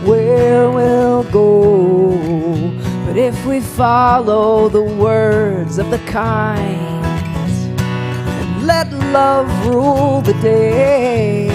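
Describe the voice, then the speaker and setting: Woman singer, slow drawn-out lines, kinda high voice, guitar loud behind her. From New Jersey. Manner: slow sung phrases with repeated refrains. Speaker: singer-songwriter. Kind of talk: live acoustic song with guitar.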